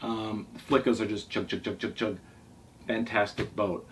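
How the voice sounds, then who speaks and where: A middle-aged man with a fairly deep voice hosts a casual vlog from a table in a small room. He rambles along with enthusiasm. The mic sits well away from him and picks up some background noise. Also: flat delivery, a little slow, a bit bright. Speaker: sailing vlog host. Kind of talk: casual talk reviewing favourite books. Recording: distant mic, noisy room ambience.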